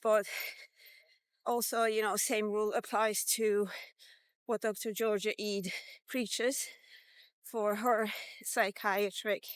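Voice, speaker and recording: A woman in her fifties talking casually in a vlog, halting and reflective, pausing between short phrases. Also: mid-range voice, level tone, clear, resonant tone, no room sound. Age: 50s. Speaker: vlogger. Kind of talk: casual walk-and-talk diet vlog.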